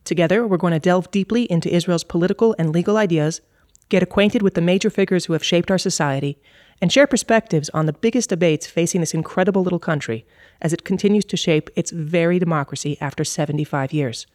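A woman's voice: lowish voice, fast, darkish tone, dry recording, studio quality. A woman hosting a podcast, with a polished, articulate delivery. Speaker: podcast host. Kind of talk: scripted podcast intro and moderating.

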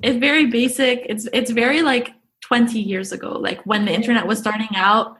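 A woman's voice: a little high, steady tone, a little fast, a bit bright, slight background noise. A young woman from Egypt, a guest chatting casually in an interview, talking with many fillers and restarts. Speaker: guest, YouTuber.

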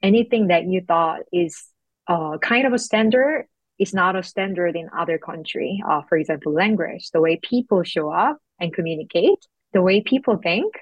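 A woman's voice: fairly low pitch, animated, normal speed, dry recording, dull audio. A young woman from South Korea, a guest in an interview, speaking thoughtfully and reflectively with frequent fillers. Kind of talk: interview conversation.

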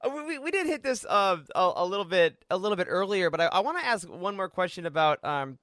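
A male podcast host with a notably high voice and a very dry sound. He interviews in a casual, chatty style, agreeable and enthusiastic. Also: big pitch swings, quick pace, fairly bright tone, no room sound. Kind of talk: casual chat.

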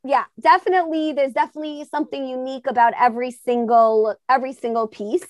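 Woman podcast host, high voice, gushing, lots of "i mean", dry close sound. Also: steady tone, quick pace, no echo. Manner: enthusiastic and effusive, with lots of "like" and "I mean". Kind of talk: podcast interview.

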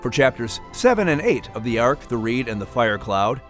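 Man, podcast announcer, medium pitch, varied expressive intonation, scripted hosting, light music. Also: moderate pace.

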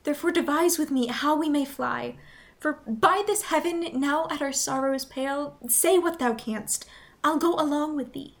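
A woman playing a spirited, loyal young noblewoman in a Shakespearean radio play, earnest and expressive. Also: high voice, moderate pace. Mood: tender, resolute.

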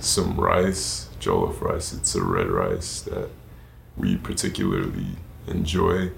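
A man's voice: a bass voice, sing-song, takes it slowly, gravelly. A teenage male student with a Nigerian accent giving reserved answers as an interview guest. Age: teens. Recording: roomy echo, background noise.

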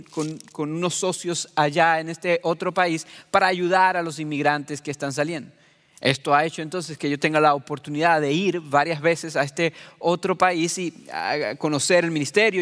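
A man's voice: a little high, a bit bright, big-room sound. A middle-aged man from Venezuela preaching a sermon to his congregation, earnest and personal in tone. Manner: earnest, addresses listeners as beloved. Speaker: pastor.

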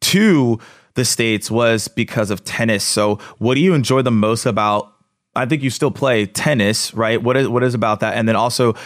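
A man's voice: medium pitch, no echo, clean studio sound. A man hosting an interview, asking questions in a friendly, encouraging way. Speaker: host. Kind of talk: conversational interview.

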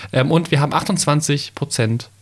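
A young man co-hosting a casual podcast, slowly and haltingly reading a bottle label aloud with many fillers and playful asides. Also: on the high side. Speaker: podcast co-host.

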